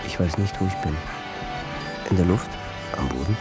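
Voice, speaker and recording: Schauspieler als erschöpfter, gestresster Geschäftsmann, sehr tief. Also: male voice, low-pitched, normal speed, close to an everyday voice. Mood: numb, disoriented. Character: exhausted, stressed businessman on the verge of snapping. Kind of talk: German radio drama monologue. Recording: loud music behind the voice.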